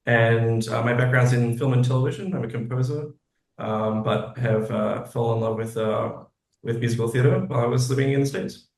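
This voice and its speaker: A man's voice: medium pitch, steady tone, fairly quick. An Australian man talking conversationally as an interview guest. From Australia, grew up in Sydney. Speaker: guest, composer. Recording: roomy, echoey sound.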